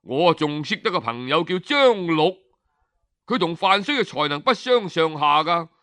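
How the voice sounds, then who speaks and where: A man's voice: fairly high pitch, sing-song, a little slow, pushed and bright, heavily acted. A man voicing an earnest, persuasive servant of ancient China in a storybook tale, plaintive and emphatic. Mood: coaxing.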